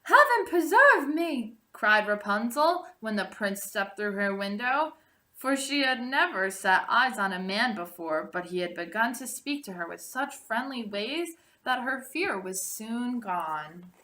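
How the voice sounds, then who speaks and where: A woman narrating a storybook aloud, expressively and deliberately, drawing out the ends of phrases. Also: mid-range voice, lively intonation, pushed and bright. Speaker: storybook reader.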